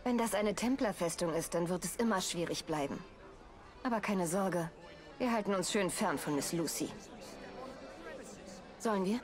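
Actress doing a young victorian assassin lady, brisk n teasing, game dub, some music behind. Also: fairly low pitch, close to an everyday voice. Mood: assured. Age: young adult. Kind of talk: German video game dub acting.

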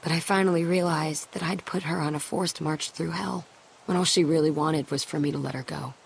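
A woman's voice: low-pitched, steady tone, fairly quick, vocal fry, slight background noise, low-quality recording. A woman playing a hardened, guarded young survivor in a video game drama, speaking somberly and bluntly. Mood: grim, regretful.

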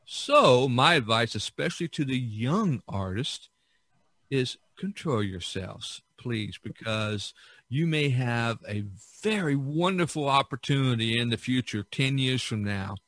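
A man in his sixties speaks as a podcast host in a dry-sounding recording. His pitch is average for a man, and he offers advice casually, rambling along with hesitations and little asides. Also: lively intonation, a little slow, a bit bright, no room sound. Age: 60s. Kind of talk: casual advisory monologue.

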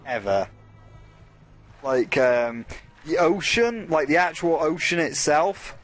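A man's voice: a little high, expressive, takes it slowly, soft background music. A man hosting a let's play, rambling casually and swearing as he talks through the game and to his chat. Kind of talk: casual gameplay commentary.

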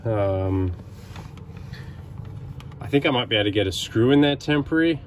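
Male, 30s, mid-pitched, casual boat-repair vlogger explaining his work, recorded on location with some noise. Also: expressive, normal speed. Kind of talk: casual explaining while working. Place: inside a sailboat and on its deck. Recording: noisy on-location camera audio.

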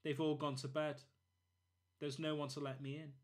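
A man's voice: on the high side, level tone, normal speed, voice pushed up, lightly acted. A man voicing the thoughts of a lonely, self-pitying female cat in a storybook, plaintive and musing.

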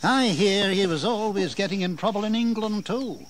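An actor playing a proud, sardonic English colonial governor in a declamatory historical audio drama. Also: male voice, high voice, moderate pace, natural voice. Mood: sardonic indignation. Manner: declamatory, sarcastic, aristocratic. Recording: faintly noisy 1980s drama recording.

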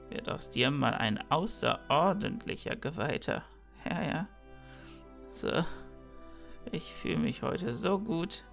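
A creaky old village priest, jovial and chatty, swoopy slow voice, audio drama. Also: male voice, medium pitch, fairly bright tone, lo-fi sound, exaggerated voice. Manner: jovial, chatty, drawn-out musing. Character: cheerful elderly village priest, kindly and talkative.

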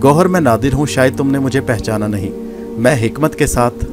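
A ragged, broken man pleads his innocence in a calm, resigned way, as the narrator voices him. He speaks steadily and without anger. Music plays underneath. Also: medium pitch, lightly acted. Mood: resigned pleading. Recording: background music.

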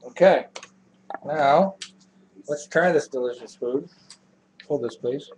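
A man hosting a casual home cooking show with his kids, filmed in a kitchen on the camera microphone, chatty and giving quick instructions. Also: a little high. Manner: relaxed, chatty, gives quick instructions to children. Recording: noisy home video.

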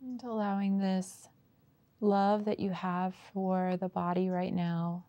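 A woman guiding a meditation as its teacher, with unhurried, gentle prompts and long pauses. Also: mid-range voice, flat delivery, no echo, lo-fi sound. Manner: slow, pausing, unhurried delivery with gentle prompts. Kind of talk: guided meditation.

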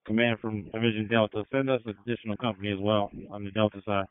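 A man reporting as a fire battalion officer, brisk and procedural, over a two-way radio. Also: lowish voice, little pitch movement, clear, resonant tone, no room sound. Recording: phone-like fire radio channel.

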